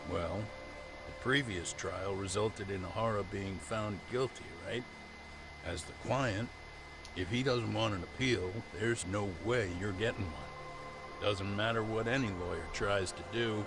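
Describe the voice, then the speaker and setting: A man's voice: lowish voice, a little slow. A man playing a sober, seasoned senior lawyer in a video game, blunt and cautionary in delivery. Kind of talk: English game dub dialogue. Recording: music behind.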